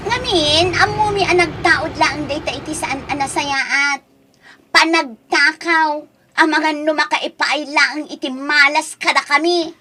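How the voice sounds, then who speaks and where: A woman's voice: high voice, fairly even intonation, a little slow, poor audio. A woman acting an envious, gossiping married neighbour in an Ilocano audio drama, brash and needling. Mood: accusing, insistent.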